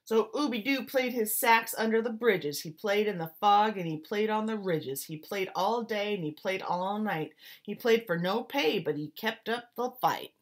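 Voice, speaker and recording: Expressive woman reading a story aloud. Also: medium pitch, moderate pace. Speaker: teacher reading a picture book aloud.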